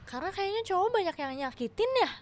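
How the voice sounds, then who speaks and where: A woman's voice: very high voice, big pitch swings, fast. A woman of twenty-one, a guest on a casual chat show, agreeable and often saying yes. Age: 20s. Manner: agreeable, repeats "benar" often.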